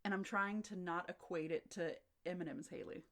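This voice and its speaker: A woman's voice: lowish voice, normal speed, fairly bright tone. A woman co-hosting a podcast in casual chat, giggly and rambling, often restarting her sentences. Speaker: podcast co-host.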